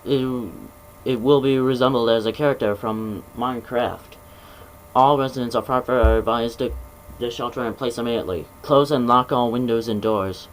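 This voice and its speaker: A man reading out a mock emergency alert broadcast in a measured, official tone, with stumbles and restarts. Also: medium pitch, steady tone, slight background noise, rough recording.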